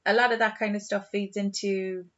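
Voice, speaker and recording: A woman's voice: medium pitch, level tone, normal speed, fairly bright tone, lo-fi sound, slight background noise. An Irish woman co-hosting a podcast in casual chat, talking in a chatty, storytelling way and acting out reactions. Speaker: podcast co-host.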